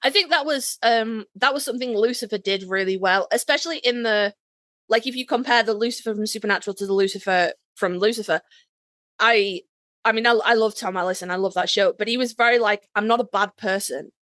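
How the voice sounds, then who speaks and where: A woman's voice: medium pitch, fairly quick, a bit bright, no room sound, studio quality. A woman co-hosting a podcast, chatting casually in a blunt, wry, informal manner. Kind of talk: casual conversational discussion.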